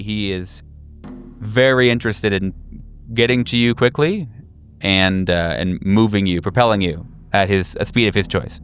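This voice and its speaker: Male game master, lowish voice, improvised horror narration with searching pauses, over background music. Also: fairly bright tone. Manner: halting, searching for words. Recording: music behind. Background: clear background music.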